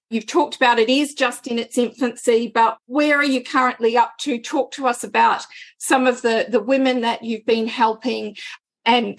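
A woman hosting an interview podcast, upbeat and affirming as she leads the talk. Her voice sits fairly high for a woman. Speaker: podcast host.

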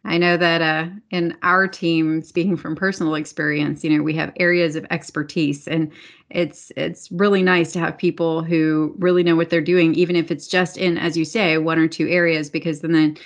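A woman's voice: low-pitched, level tone, normal speed. A woman hosting a podcast, asking casual questions. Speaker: podcast host.

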